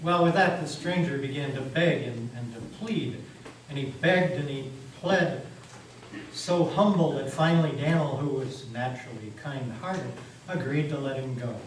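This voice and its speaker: A man giving a deliberate, folksy dramatic story reading in a meeting house hall. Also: fairly high pitch. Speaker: reader in a staged dramatic reading. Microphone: distant microphone. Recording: roomy live hall, distant mic, faint noise.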